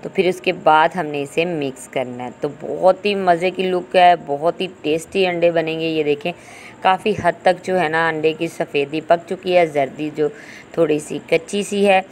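A woman's voice: low-pitched, normal speed, a little mellow. A woman giving an informal, instructional recipe voice-over as a cooking host. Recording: home recording with clear background noise.

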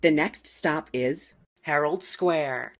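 A woman reading scripted train announcements in a measured, formal, segmented way. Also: low voice. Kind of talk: scripted transit announcement, spliced clips.

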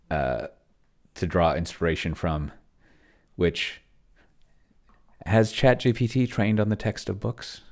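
A middle-aged man giving casual think-aloud commentary at his computer in a halting, murmuring way, close to a desk microphone. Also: low voice. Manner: halting, murmuring to himself while typing and reading. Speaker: host, solo roleplaying player. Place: small room at home, indoors. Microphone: desk microphone close to the mouth.

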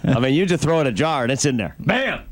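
A man's voice: medium pitch, sing-song, fast. A man hosting a morning radio show, bantering in a casual, joking, colloquial way on a close studio mic. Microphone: close broadcast boom mic.

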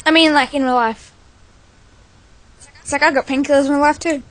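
A woman's voice: sits high, fairly even intonation, brisk, fairly bright tone. A woman talking casually with a friend over voice chat while gaming, chatty and hesitant, full of fillers. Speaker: gamer.

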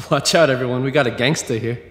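An actor playing a mocking imaginary muse in an audio drama, with an echoing effect on the recording. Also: male voice, medium pitch, brisk, a bit bright, lightly acted. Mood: teasing. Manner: mocking, teasing. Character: teasing male muse, an imaginary fictional character. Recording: reverberant, echoing hall effect.

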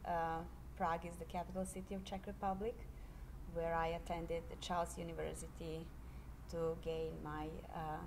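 A middle-aged woman from Serbia, a high school history teacher, speaking in a measured, earnest way with many pauses in a classroom interview on a handheld mic. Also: little pitch movement, noisy background. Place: classroom, indoors.

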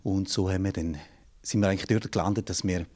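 A man's voice: low-pitched, steady tone, quick pace, a little mellow. A man in his forties with a St. Gallen Rhine Valley Swiss German accent, answering interview questions thoughtfully in a small studio room, restarting phrases as he searches for words. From St. Gallen Rhine Valley, Switzerland. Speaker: jazz singer and yodel teacher, interview guest. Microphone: handheld mic held by interviewer.

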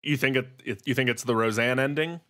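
A man's voice: mid-range voice, fast, a bit bright. A man co-hosting a podcast, talking in a mock-earnest conversational style. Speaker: podcast co-host.

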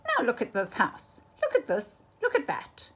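A woman's voice: fairly high pitch, wildly swooping pitch, normal speed, husky, voice pushed up, heavily acted. A woman voicing a small, indignant pet fish from a storybook, fussy and bossy, scolding emphatically.

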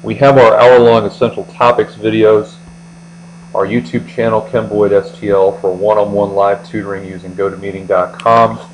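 A man's voice: fairly low pitch, moderate pace, dull audio. An American man working methodically through an explanatory lecture as an accounting tutor, step by step. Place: indoors, voice-over on screen recording. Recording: steady mains hum.

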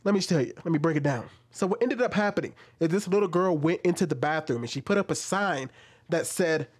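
A man in his thirties hosting casual commentary at home into a studio mic, talking with animated, questioning emphasis. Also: fairly high pitch, fairly quick, breathy edge. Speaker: commentary host. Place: small room at home, indoors. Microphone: studio mic on an arm, wearing headphones.

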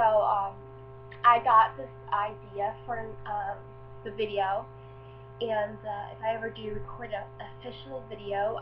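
A teenage girl getting over a cold, chatting casually with many fillers before singing a song cover, recorded on a webcam mic in her small bedroom. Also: a little high. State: recovering from a cold. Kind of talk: casual webcam chat, then singing along to an instrumental. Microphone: built-in webcam mic at close range. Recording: lo-fi webcam audio with backing music.

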